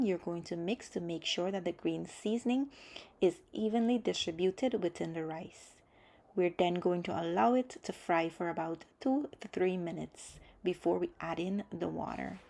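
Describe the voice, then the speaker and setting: Low-pitched woman giving cooking instructions. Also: vocal fry, darkish tone. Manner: orderly step-by-step explaining. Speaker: cooking channel host.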